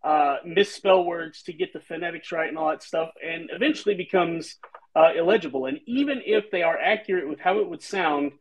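A man's voice: a little high, a little fast, rough recording. A man hosting a casual chat on a video call, rambling thoughtfully with lots of fillers. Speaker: host and author. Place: home room, indoors.

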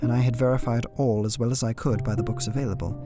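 A man's voice: medium pitch, fairly even intonation, fast, close to an everyday voice. An actor playing an earnest, uneasy young English solicitor, narrating his journal formally in a radio play. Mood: dutiful, uneasy. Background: plucked guitar music.

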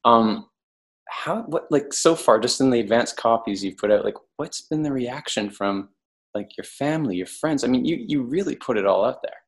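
A man's voice: medium pitch, expressive, moderate pace. A man in his thirties hosting a podcast, asking curious questions into a studio microphone at home. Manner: curious, encouraging with short reactions. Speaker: podcast host. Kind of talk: casual interview chat. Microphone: studio mic with headphones.

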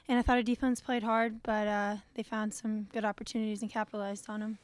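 A woman's voice: medium pitch, level tone, fast, clear, resonant tone, no echo. A young adult woman college soccer player answering press questions at a table mic in a plain, composed way. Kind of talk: post-game press conference answers. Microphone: table microphone.

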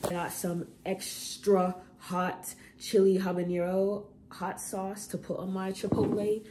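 A woman's voice: mid-range voice, little pitch movement, a little slow, dark tone, a little hiss or hum. A young woman vlogging a grocery haul at home in her kitchen, talking casually with slang and rambling asides. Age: young adult.

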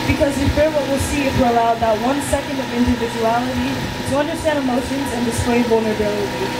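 A young woman with a high voice recites spoken-word poetry from a page. She speaks into a handheld mic outdoors, with heavy noise around her. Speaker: poet. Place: outdoors at a community event. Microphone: handheld microphone. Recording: loud outdoor hum and rumble.

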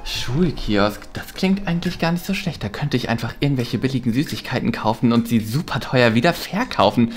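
Woman cast as a male teacher, very deep, lively, greedy schemer, music under. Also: a little fast, hardly any pauses. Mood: scheming. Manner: dreamy, muttering to himself, salesman patter. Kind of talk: sketch comedy acting. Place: bright office room indoors. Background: background music.

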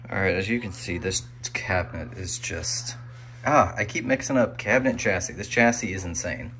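An American man narrating his hobby restoration work casually and unscripted, musing out loud as he goes. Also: low voice, moderate pace. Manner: musing, thinking aloud. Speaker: hobbyist radio restorer presenting a video. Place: small indoor home workshop. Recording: faint room noise.

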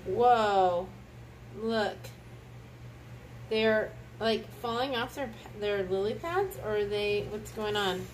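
A woman's voice: medium pitch, slow. A woman chatting casually through a picture book read-aloud with a child, exclaiming and prompting with questions. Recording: distant mic with clear background noise.

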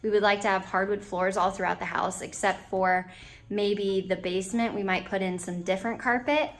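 A woman's voice: mid-range voice, fairly even intonation, normal speed. Casual, chatty young American woman. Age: 20s. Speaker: vlogger giving a house tour. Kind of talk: casual vlog narration, walking tour. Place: empty rooms of a house, indoors. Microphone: handheld camera or phone at arm's length.

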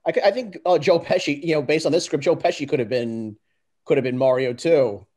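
A man's voice: a little high, fairly quick, darkish tone. A man chatting casually and reading a script as an amateur, circling back over his words hesitantly.

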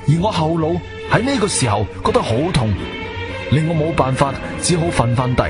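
Adventurer hero narrator, Cantonese accent, dramatic first-person storytelling, animated delivery, music behind. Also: male voice, on the high side. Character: adventurer hero narrating his own ordeal. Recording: background music under the voice.

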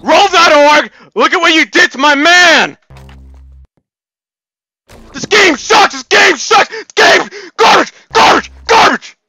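A man voicing a hyped-up, taunting shooter-game announcer, bombastic and over-excited, in shouted voice lines. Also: very high voice, takes it slowly, no echo, voice pushed up, exaggerated voice. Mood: outraged.

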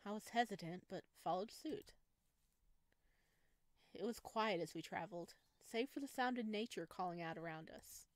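A woman's voice: mid-range voice, moderate pace, no echo. Woman reading a story aloud, dry close sound. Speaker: streamer reading a visual novel aloud. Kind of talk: expressive scripted narration of a visual novel.

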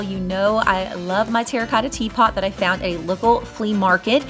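Lady narrating her decor vlog, friendly and chatty, mid pitch, light music under. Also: fairly bright tone. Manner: friendly, chatty, addresses viewers as y'all. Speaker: home decor vlogger. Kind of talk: casual voice-over tutorial.

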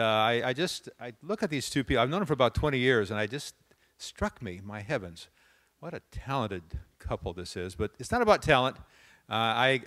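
A man's voice: mid-range voice, fairly bright tone, dry recording. Older guy introducing the speaker, lots of uh's, dry close mic. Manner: hesitant, many uh fillers and restarts. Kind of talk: warm spoken introduction. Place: large dark auditorium stage. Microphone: handheld mic.

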